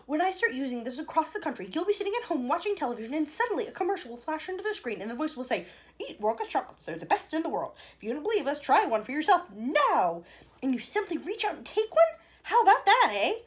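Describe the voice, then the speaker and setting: A woman voicing an excitable, eccentric male chocolate maker with a showman's exclamatory manner. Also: high-pitched, fast talker, voice pushed up. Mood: boastful.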